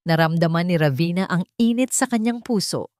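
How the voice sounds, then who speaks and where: A woman narrator reading a scripted romantic drama story in measured, short phrases. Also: on the low side, expressive, a little mellow, dry recording, studio quality.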